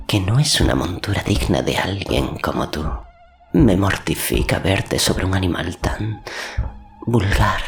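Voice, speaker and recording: A man voicing a scheming, flattering sorceress in an audiobook, insinuating and mock-deferential, with a breathy, creaky voice. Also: low voice, voice pushed up, full character voice. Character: scheming, flattering sorceress, servile yet seductive.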